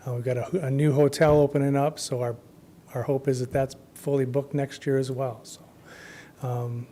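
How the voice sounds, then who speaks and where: A man speaking as a festival co-chair before a city commission, his voice going hoarse, informal with many ums, trailing off quietly at the ends of phrases. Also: mid-range voice, little pitch movement, normal speed. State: losing his voice. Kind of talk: public comment at a council meeting. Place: council chamber.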